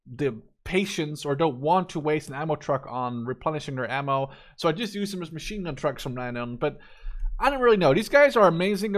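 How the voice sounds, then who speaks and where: Young man, native Dutch speaker, slightly high voice, casual gaming commentary, rambling, repeats words for emphasis. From Netherlands. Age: 20s. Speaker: gaming YouTuber. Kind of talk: casual unscripted game review commentary.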